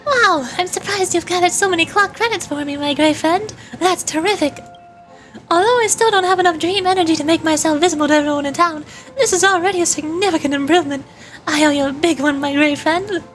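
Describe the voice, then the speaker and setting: A woman voicing a jaunty, grateful cartoon clock mascot from a video game, loud and grandly friendly. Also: extremely high pitch, a little fast, faint music behind.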